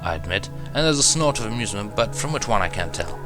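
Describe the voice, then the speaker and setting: A man's voice: on the low side, animated, fast, music in the background. A man reading fan fiction aloud as dramatic first-person narration, in the manner of an audiobook.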